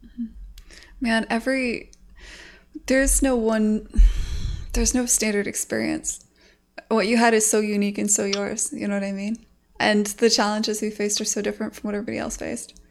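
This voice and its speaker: A woman hosting a casual podcast chat, frank and animated, swearing casually as she talks about game development. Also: mid-range voice, moderate pace, gravelly, pauses often.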